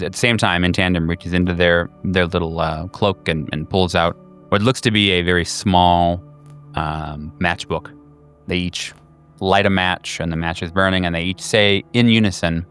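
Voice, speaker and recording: A man with a low voice narrates a horror tabletop game as its game master. He feels his way through the scene with drawn-out pauses. Faint music plays underneath. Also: fairly bright tone, smooth.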